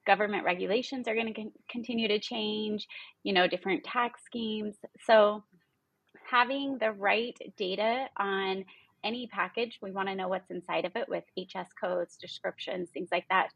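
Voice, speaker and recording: Interview guest: woman, thirties, businesslike and explanatory, gravelly edge, very little room sound. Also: medium pitch, no room sound, highs cut off. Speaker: interview guest, logistics partnerships executive. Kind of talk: conversational interview answers. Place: indoor room, video call. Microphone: video call, close framing.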